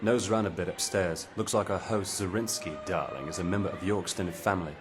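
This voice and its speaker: A man's voice: low-pitched, a little fast, natural voice. An actor voicing an easygoing, joking male handler in a game cutscene. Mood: wry. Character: wry male handler speaking over comms. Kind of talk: video game cutscene acting. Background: background music.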